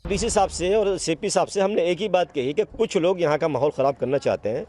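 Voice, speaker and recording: A man's voice: on the high side, a little fast, darkish tone. A man giving a spoken statement as a community spokesman.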